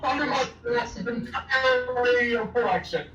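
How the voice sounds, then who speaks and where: A man voicing a shrill, squeaky male announcer in a high falsetto. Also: a little slow, highs cut off, heavy background noise. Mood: mock announcing. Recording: echoey room from far off.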